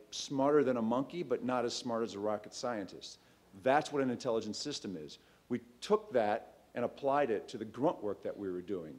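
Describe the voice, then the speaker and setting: A man in his thirties, a startup CEO, giving a loose, conversational pitch on a stage in a large auditorium, speaking into a stand mic with frequent ums and restarts. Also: a little mellow. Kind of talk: conference pitch talk.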